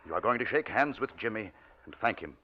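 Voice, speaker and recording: An actor playing a firm, commanding and reassuring doctor in a 1940s radio drama. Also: male voice, lowish voice, animated, a little fast, a little hiss or hum, lightly acted. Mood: brisk, insistent. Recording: dull vintage radio recording with music.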